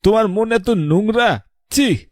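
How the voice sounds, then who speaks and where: A middle-aged husband in an animated drama, played by a man. His voice sits a little high and very dry, with a weary, scolding, moralising delivery. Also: a little slow, no room sound. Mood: reproachful, fed up. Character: reproachful older husband, grieving father-in-law. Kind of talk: animated horror story drama dialogue.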